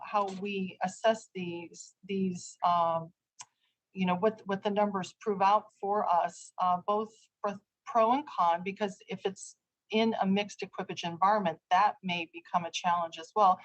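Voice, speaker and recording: A woman's voice: medium pitch, low-quality recording. A woman giving explanatory testimony as an official at a formal hearing. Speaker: government aviation official testifying.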